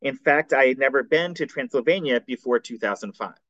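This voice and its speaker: A man, a scholar reading a prepared seminar paper aloud in a measured, formal way. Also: medium pitch, fairly bright tone, dry recording. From United States. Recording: dull, dry recording.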